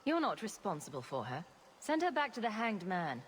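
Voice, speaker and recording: A woman's voice: mid-range voice, huge pitch swings, normal speed, slight background noise. A woman playing a confident, sarcastic young rogue heroine in a fantasy game, dry and joking. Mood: wry.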